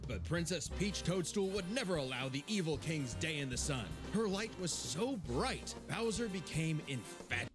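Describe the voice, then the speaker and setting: Calm explainer guy narrating, kinda high voice w lots of melody, music behind. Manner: measured, explanatory storytelling. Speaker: co-host of a versus analysis show. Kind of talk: scripted narration.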